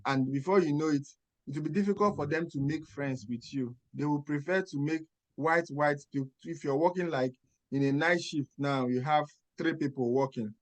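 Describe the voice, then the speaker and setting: A man's voice: fairly high pitch, normal speed, poor audio. A man with a Nigerian accent, a nurse mentor giving advice on a video call and stressing points with checks like "Okay?". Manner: emphatic, checks in with "Okay?" and rhetorical questions.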